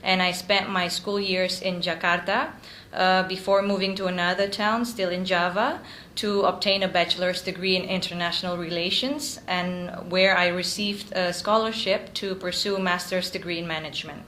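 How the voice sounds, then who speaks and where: A woman's voice: fairly low pitch, fairly even intonation, moderate pace, sharp tone, reverberant, slight background noise. A woman in her thirties from Indonesia, giving an earnest prepared speech at a club meeting, with frequent ums. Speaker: guest speaker.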